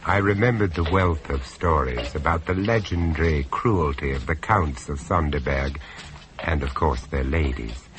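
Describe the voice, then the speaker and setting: An actor playing a grave, observant gentleman who narrates a horror tale in a radio play, in a dramatic storytelling style. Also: a bass voice, a little slow. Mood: ominous. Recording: noisy old radio drama recording.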